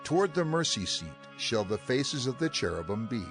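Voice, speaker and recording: An actor playing a solemn male scriptural figure giving firm instructions in a measured, declamatory dramatized reading. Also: medium pitch, big pitch swings, fairly bright tone, soft background music. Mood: solemn, instructive.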